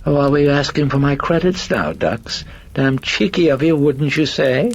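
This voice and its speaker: A man voicing a manic, cheeky, foul-mouthed demonic personality with a hoarse British voice and flamboyant delivery in an audiobook story. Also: on the high side, expressive, normal speed, pitched above the performer's own voice. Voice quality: hoarse, shrieking, rasping. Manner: flamboyant, giddy, swooping.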